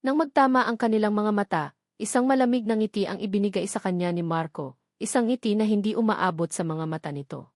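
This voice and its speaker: A woman with a Filipino accent narrates a dramatic story. Her voice sits at a middle pitch for a woman. She reads from a script as a storyteller, and the recording is dry, with hardly any room sound. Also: quick pace, a little mellow, no room sound.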